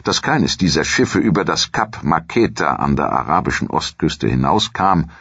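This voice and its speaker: A man with an extremely low, husky voice narrates history in a measured, formal way. His scripted delivery suits an audio documentary, and the sound is dry and slightly dull. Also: fairly bright tone, dry recording.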